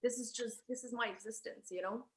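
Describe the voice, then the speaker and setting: A young woman with an Irish accent speaks in a bright, forceful tone, talking openly and expressively. She is on a video call from her living room, and the room gives her voice a noticeable echo. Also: a little high, brisk. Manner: expressive, chatty, laughs easily. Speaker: poet and guest. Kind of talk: spoken word poetry and conversation.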